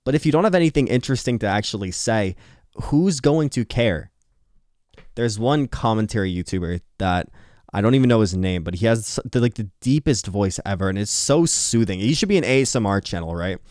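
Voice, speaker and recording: Young man, tired, slightly nasal, rambling late-night chat, lively pitch, dry close sound. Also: no room sound. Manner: rambling, candid, swearing, quick laughs. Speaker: YouTuber. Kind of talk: late-night casual talk to camera. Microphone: earphones on, close mic.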